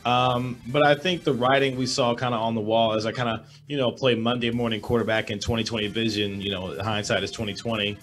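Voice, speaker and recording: A middle-aged man with a hoarse voice, a sports reporter giving measured analysis as a guest over a video call. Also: mid-range voice, level tone, a bit bright, soft background music. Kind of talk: remote sports talk interview. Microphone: webcam with desk microphone, video call.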